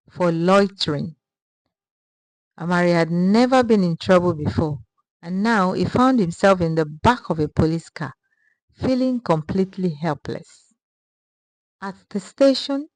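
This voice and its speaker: Measured woman storyteller, dry sound. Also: mid-range voice, no room sound, low-quality recording. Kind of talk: scripted story narration.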